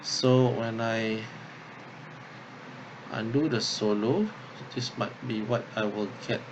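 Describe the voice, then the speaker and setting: A man instructor talking through an unscripted tutorial step by step, thinking aloud haltingly and often checking in with okay or yeah. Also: on the low side, steady tone, lo-fi sound, noisy background. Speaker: drama workshop instructor.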